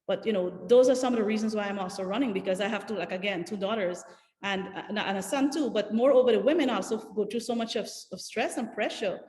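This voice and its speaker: A woman's voice: mid-range voice, quick pace, darkish tone. A woman from Guyana with a Guyanese accent, telling stories earnestly and emphatically as a panelist on a video call. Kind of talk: panel discussion answer over video call. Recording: echoey room with long reverb.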